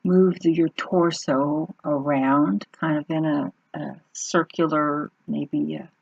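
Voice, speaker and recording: A woman leading an unscripted guided meditation in an unhurried manner, pausing between short phrases. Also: low voice, fairly even intonation, creaky, slightly rough, no echo, muffled recording.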